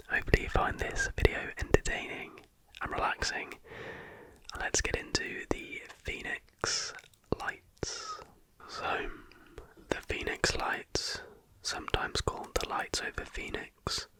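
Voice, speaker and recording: This is an ASMR host speaking in a constant breathy whisper. The sound is bright and sibilant, with no real voicing. The delivery is soft, unhurried explanatory narration. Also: extremely high pitch, poor audio.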